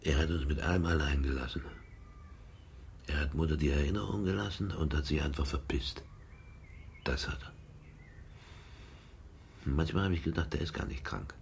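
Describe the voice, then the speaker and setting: Extremely deep, gravelly, bitter man. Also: moderate pace, long pauses, slight background noise. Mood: resentful, muttering. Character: bitter, scornful grown son, contemptuous brother. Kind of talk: radio drama dialogue.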